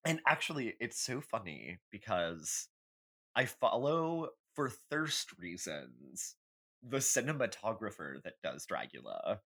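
Low voiced guy podcast host, super animated, opinionated, sweary casual chat. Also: takes it slowly, creaky.

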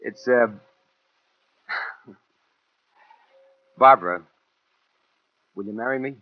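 An actor with an American accent playing a hard, rough killer in 1940s radio thriller style, with a husky voice. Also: male voice, mid-range voice, takes it slowly, no room sound, close to an everyday voice. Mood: eager, insistent. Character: cold-blooded male killer, hard and rough, courting a widow. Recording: dull vintage 1940s radio recording.

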